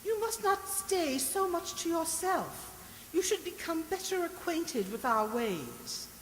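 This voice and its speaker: A woman's voice: very high voice, big pitch swings, slow pace, exaggerated voice. A woman playing a haughty, snobbish society lady in a radio drama, speaking in an imperious, affected, scornful way. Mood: gracious, affected. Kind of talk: theatrical radio drama acting. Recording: echoey hall, hissy background noise.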